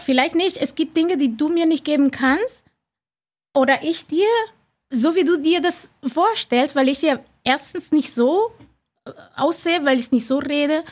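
A woman in her forties chatting casually and candidly as a podcast guest, often repeating words as she searches for phrasing. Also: high-pitched.

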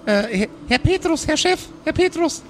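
A man's voice: very high-pitched, expressive, moderate pace, a little hiss or hum. A man voicing a flustered little childlike cherub messenger who stammers, repeats himself and defers to his boss in an improvised audio drama. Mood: urgent.

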